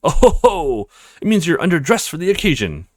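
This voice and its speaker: A man's voice: a little high, very animated, brisk, no room sound, voice pushed up. A man voicing a snobbish, condescending maitre d' in a read-aloud of a video game. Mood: haughty. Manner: pompous, condescending, fawning to VIPs. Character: snobbish pompous French maitre d' at a posh restaurant.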